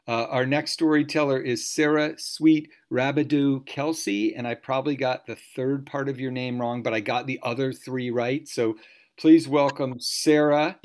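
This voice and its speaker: A man with a medium-pitched voice works as MC over a video call. He hosts casually, with an upbeat, informal way of talking. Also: vocal fry. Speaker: host, MC. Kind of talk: casual live event hosting.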